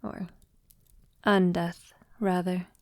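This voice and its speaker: Woman acting as an ancient lady vampire lover, soft n slow, tender n wistful, close dry roleplay audio. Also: lowish voice, flat delivery, dry recording. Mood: sorrowful. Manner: soft, unhurried, breathy lapses into whispering. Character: centuries-old female vampire lover, tender, wistful, confiding. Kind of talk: intimate ASMR audio roleplay.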